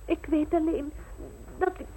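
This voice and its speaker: High-voiced proud young lady. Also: little pitch movement, unhurried, warm tone, a little hiss or hum. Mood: earnest. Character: young aristocratic lady, proud, dutiful and ambitious. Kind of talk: radio play acting.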